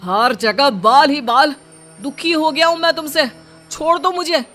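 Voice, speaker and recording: महिला की आवाज़ में चिड़चिड़ा जवान पति, पीछे संगीत. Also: female voice, high-pitched, a little slow, fairly bright tone, faint music behind, pitched above the performer's own voice. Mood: angry, fed up. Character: young husband, loving turned irritable and harsh. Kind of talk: dramatized Hindi moral story.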